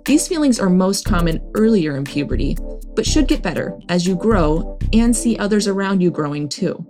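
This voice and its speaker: A woman hosting an educational podcast, reading a scripted narration in a gentle, reassuring manner. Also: mid-range voice, expressive, normal speed. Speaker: podcast host. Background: background music.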